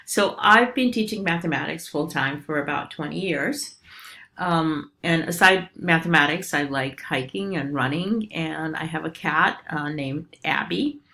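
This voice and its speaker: A middle-aged woman with a Romanian accent, a professor giving a recorded course introduction in a relaxed, explanatory way with frequent fillers. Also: sits low, unhurried, gravelly. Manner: informal, many ums and uhs, explanatory. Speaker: statistics professor.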